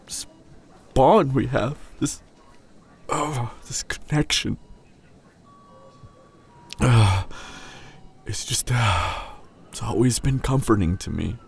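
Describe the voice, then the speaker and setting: A man playing a tender, grieving young hunter boyfriend in an intimate, emotional audio drama, halting and husky, often dropping toward a whisper. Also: mid-range voice, slight background noise. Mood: grieving, scared. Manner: halting, emotional, long pauses. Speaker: audio roleplay voice actor.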